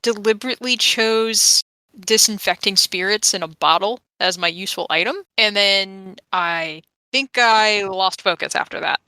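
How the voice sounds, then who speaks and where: Bright-voiced woman gamer. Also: mid-range voice, normal speed, clean tone, dry recording, poor audio. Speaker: tabletop game player. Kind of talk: casual game chat.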